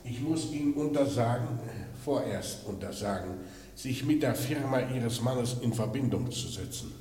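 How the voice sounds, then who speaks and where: An actor playing a probing, dry police commissioner in a crime radio play, with a measured way of questioning. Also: male voice, medium pitch, animated, warm tone, a little hiss or hum, lightly acted. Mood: firm. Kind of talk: German radio drama acting.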